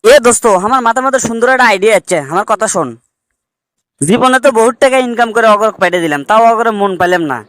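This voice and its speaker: A fed-up cartoon migrant worker, acted out by a man with a notably high voice. He speaks northern Bangladeshi dialect and presses his grievances emphatically, like a friend with a scheme. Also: fast talker, a bit bright, clean tone, no room sound, clean studio sound. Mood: scheming, resolute. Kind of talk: comic cartoon dubbing in colloquial dialect.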